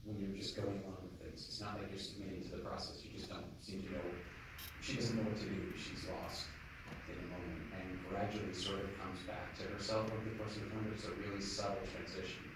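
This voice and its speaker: A man's voice: deep voice, little pitch movement, fast, far from the mic, background noise. A man moderating a film festival Q&A, asking long, thoughtful questions with many restarts. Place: cinema auditorium. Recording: roomy hall, mic from afar, crowd murmur.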